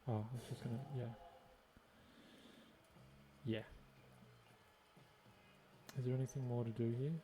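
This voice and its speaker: A man's voice: mid-range voice, little pitch movement, measured pace, long pauses, mellow, a little hiss or hum. An Australian man giving casual gameplay commentary, musing and thinking aloud to himself. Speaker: gaming video host.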